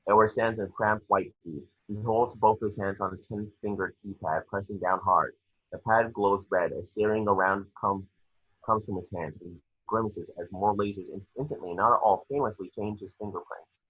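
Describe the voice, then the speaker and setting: Low, husky man reading stage directions. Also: fairly even intonation, moderate pace, a bit bright, dull audio. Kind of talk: scripted narration at amateur table read.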